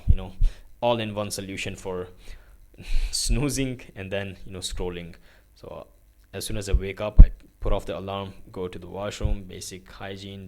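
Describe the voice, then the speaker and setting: A young man from Pakistan filming a casual day-in-the-life vlog in his bedroom, rambling through his routine with lots of fillers and restarts. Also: sits low. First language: Urdu is his country's language, but not his first language. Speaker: vlogger. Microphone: phone on a tripod.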